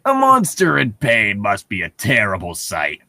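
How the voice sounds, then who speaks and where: A man's voice: lowish voice, wildly swooping pitch, measured pace, pushed and bright, no room sound, studio quality, voice pushed down, exaggerated voice. A man voicing a miserable, guilt-stricken shark monster telling his own story in a fan fiction.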